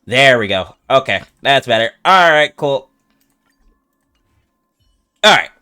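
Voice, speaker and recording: A man's voice: medium pitch, big pitch swings, slow pace, bright, forceful tone, smooth, soft background music. A man doing casual gameplay commentary as a streamer, informal and slangy, thinking aloud as he plays. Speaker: video game streamer.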